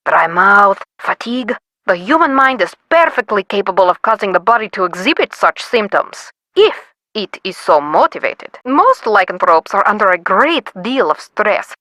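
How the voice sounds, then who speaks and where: An imperious, self-important scholar in a mystery game. Her voice is bright and forceful at a middling pitch, clipped in delivery, with a very dry close sound. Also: dry recording. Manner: imperious, clipped, impatient lecturing. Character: brusque, self-important woman expert on lycanthropy. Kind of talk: scripted video game voice acting.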